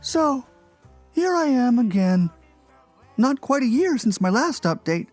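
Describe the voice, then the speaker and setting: A woman playing a lonely, morose cartoon blogger in a dramatic reading, deadpan and oddly earnest. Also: fairly high pitch, measured pace, faint music behind. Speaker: performer in a podcast dramatic reading. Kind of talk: dramatic reading of a comic blog.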